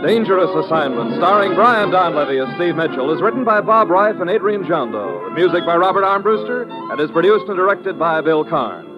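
An American male announcer in old-time radio style, his voice high and dramatically inflected. Music plays prominently behind him on a muffled vintage recording. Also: big pitch swings, a little fast, few pauses. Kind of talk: scripted 1950s radio announcing.

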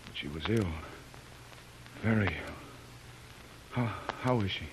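An actor playing a weak, feverish adventurer in a sickbed, halting and faint, in 1930s radio drama style. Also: male voice, fairly low pitch, expressive. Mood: weak, anxious. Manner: halting, faint, breathy delivery. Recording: noisy vintage radio recording.

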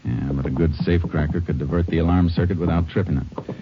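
An actor playing a calm, shrewd Texas Ranger in a 1950s radio western, speaking with an American accent in a measured, matter-of-fact way. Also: male voice, sits low, slight background noise, natural voice. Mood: calm, businesslike. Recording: dull old radio recording.

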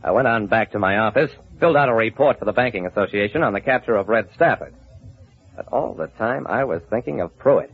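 A shrewd, hard-boiled detective from vintage radio. He sounds husky and confident, with lively, wry delivery, on a muffled narrow-band recording. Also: lowish voice, brisk. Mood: wry, brooding. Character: shrewd, hard-boiled male investigator telling his case. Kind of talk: old-time radio detective narration and acting.

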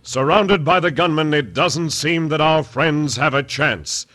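A man's voice: on the high side, a little slow. A man narrating an old-time radio serial as its announcer, in a dramatic style. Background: loud organ music.

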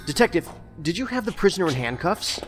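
A man playing a cool, observant federal investigator in an audio drama, polite, measured and inquisitive. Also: high voice, big pitch swings. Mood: curious, composed. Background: music.

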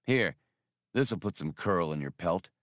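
A man's voice: low-pitched, animated, measured pace, a bit bright, dry recording. A man reads as a cocky male space smuggler in an audiobook. He is brash and impatient, recorded close and dry. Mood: breezy. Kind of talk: audiobook character voice.

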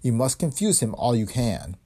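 A man voicing a courtly, sinister bearded man who gives formal, clipped orders, in a husky voice. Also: medium pitch, huge pitch swings, a little fast, darkish tone, exaggerated voice. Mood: menacing.

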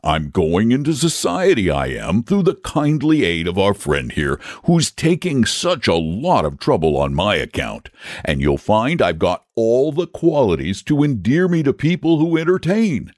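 A man's voice: medium pitch, very animated, a little slow, a little mellow, no room sound, studio quality, pitched above the performer's own voice. A man voicing a big, genteel, old-fashioned storybook dragon with a drawling, testy, fussily polite manner. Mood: confiding, breezy. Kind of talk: storybook character voice.

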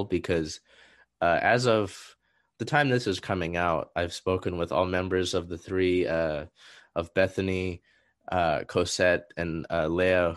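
Low-voiced man asking rambling questions. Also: no echo, studio quality. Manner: rambling questions with many restarts and fillers. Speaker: interviewer. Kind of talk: conversational podcast interview.

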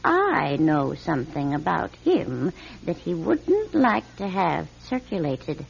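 Radio drama: smug, secret-hoarding older woman, slow and knowing, wildly swooping pitch, lo-fi sound. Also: big pitch swings, gravelly, husky, heavily acted. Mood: sly, smug. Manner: sly, knowing, theatrical delivery. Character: nosy, smug older spinster who collects neighbours' secrets.

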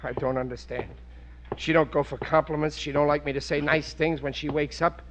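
An actor playing a blustering, dim, lovesick middle-aged working-class husband in 1940s radio sitcom style, with folksy ungrammatical speech. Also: male voice, on the high side, steady tone, fairly quick, music in the background, natural voice. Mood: baffled, pleading.

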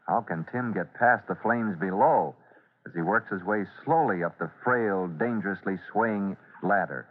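A male announcer with a fairly low voice narrates in dramatic vintage radio serial style. The recording is thin and phone-like.

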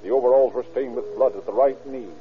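A man's voice: mid-range voice, fast talker, background noise. An American man narrating an old-time radio crime drama in a formal, dramatic scripted style. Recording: tinny old radio recording. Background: dramatic music.

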